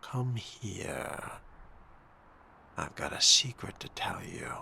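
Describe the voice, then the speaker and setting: Suave, menacing game vampire played by a man: slow, deliberate pacing, crisp forward tone, dry predatory wit. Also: deep voice, animated, pushed and bright, a little hiss or hum, heavily acted. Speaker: player voicing the game's main character. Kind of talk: in-character video game roleplay.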